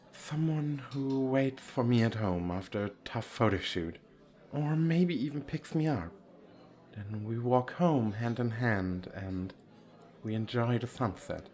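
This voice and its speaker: A man's voice: medium pitch, lively intonation, measured pace, a little mellow, close to an everyday voice. Man playing a theatrical, swooping vain male model. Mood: flirty, dreamy. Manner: theatrical, teasing, self-absorbed. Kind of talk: ASMR roleplay.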